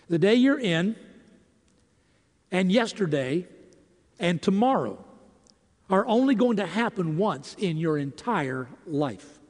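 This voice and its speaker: A man preaching in a deliberate, emphatic way, breaking his lines into short phrases with dramatic pauses. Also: high voice, a little mellow. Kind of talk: sermon with emphatic rhetorical questions and scripture. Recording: long reverberant hall.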